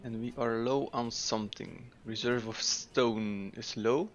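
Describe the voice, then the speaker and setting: A man narrates a city-building game in a mid-pitched, everyday voice, thinking aloud at an unhurried pace with plenty of ums and uhs. Soft game music plays faintly beneath his commentary. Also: fairly even intonation, lo-fi sound. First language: Dutch. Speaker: gameplay commentator. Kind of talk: casual let's-play commentary.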